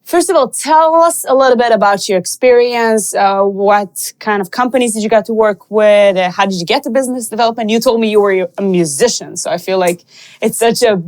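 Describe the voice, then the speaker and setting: A woman's voice: mid-range voice, fairly quick, darkish tone. Woman hosting an interview podcast. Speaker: podcast host.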